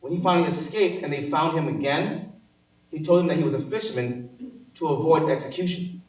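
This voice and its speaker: A man's voice: a little high, brisk, a little mellow. A man on a panel telling his personal story in an earnest, conversational way. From Cambodia. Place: large hall. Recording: echoey hall from far off.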